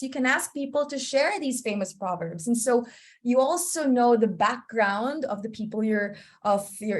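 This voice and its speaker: Woman teaching a webinar over a video call, kinda high voice, keeps asking "you know?". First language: English. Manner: chatty, often checks in with "you know?" and "okay?". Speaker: webinar presenter. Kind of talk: online teaching presentation.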